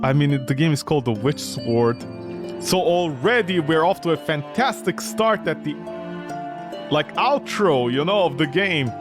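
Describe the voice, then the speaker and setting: Animated high-pitched man commentating on a game. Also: measured pace, over music. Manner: animated, mocking, incredulous. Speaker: gaming video host. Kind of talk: casual game commentary, reading dialogue aloud.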